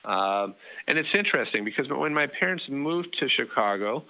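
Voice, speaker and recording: A middle-aged man telling a family story as an interview guest, relaxed and full of fillers and restarts. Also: medium pitch, normal speed, gravelly, phone-quality sound.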